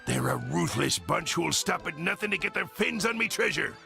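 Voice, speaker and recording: A man's voice: fairly high pitch, lively intonation, moderate pace, vocal fry, music in the background. A man voicing a greedy, self-important pirate captain in a video game, with a mock pirate accent and blustering, theatrical manner.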